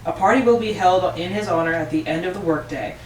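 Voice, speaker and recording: A woman's voice: fairly low pitch, fast, a little mellow, lightly acted. A woman playing a friendly, chatty factory boss in an amateur table read, talking in an upbeat, rambling way. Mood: upbeat, announcing. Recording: roomy, distant mic, faint noise.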